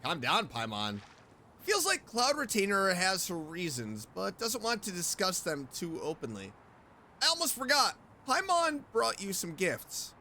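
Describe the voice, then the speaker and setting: A woman's voice: mid-range voice, huge pitch swings, a little slow, bright, forceful tone, slight background noise. A woman streamer doing casual, playful commentary on a game playthrough, reacting and reading dialogue aloud expressively. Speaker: streamer, VTuber.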